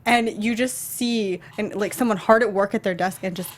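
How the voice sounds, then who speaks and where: A woman with a medium-pitched voice co-hosts a casual review show. She talks conversationally, assembling each thought piece by piece with a slightly halting flow. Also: steady tone. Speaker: co-host of a film and game review show. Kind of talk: casual chatty discussion.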